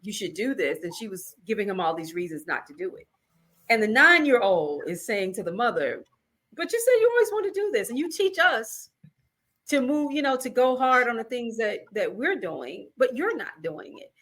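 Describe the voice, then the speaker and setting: A woman's voice: a little high, big pitch swings, normal speed, fairly bright tone. A woman from Alabama hosting an interview, enthusiastic and encouraging, often stumbling over the start of a phrase. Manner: enthusiastic, encouraging, repeats words when starting. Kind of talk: casual interview chat.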